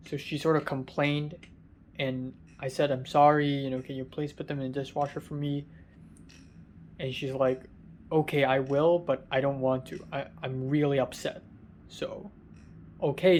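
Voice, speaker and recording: A tired young man with a raspy voice, rambling through a casual vlog from a hotel room, halting with many ums. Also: medium pitch, darkish tone, slight background noise. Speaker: vlogger.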